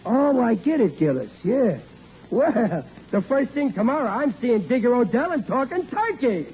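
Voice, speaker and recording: An actor playing a blundering, boastful, stubborn husband and dad in a 1940s radio sitcom, blustery and stammering. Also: male voice, very high-pitched, very animated, normal speed. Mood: eager, scheming. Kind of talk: 1940s radio comedy acting. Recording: muffled old radio recording, faint noise.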